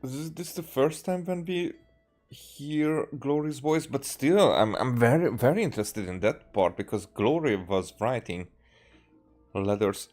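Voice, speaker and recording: A man from Hungary comments casually on a game he plays. His medium-pitched voice halts and circles back over words as he thinks, with faint game music underneath. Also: lively intonation, a little mellow. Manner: halting, repeating words as he thinks. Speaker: game streamer.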